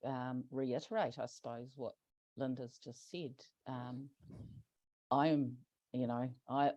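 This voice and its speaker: A man walks through a project over a video call, pausing often and restarting his sentences. His voice stays level with little rise and fall. The sound is dry and a bit dull, like lo-fi call audio. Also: medium pitch, mellow, no echo. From New Zealand. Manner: halting, many fillers and restarts. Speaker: project presenter. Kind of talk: online webinar presentation.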